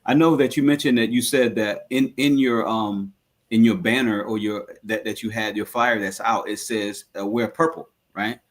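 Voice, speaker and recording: A man interviewing on a radio show in a conversational way, haltingly restarting his questions. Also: fairly low pitch, fairly even intonation.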